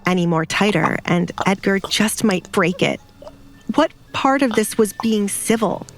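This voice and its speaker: A woman's voice: medium pitch, lively intonation, unhurried. A woman narrating a scripted dramatic story as an audiobook narrator. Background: background music.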